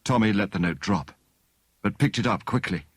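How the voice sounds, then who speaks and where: A male narrator reads a story in a fairly low voice. His intonation is lively and varied, and the sound is close and dry, as an audiobook should be. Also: normal speed, husky, no echo.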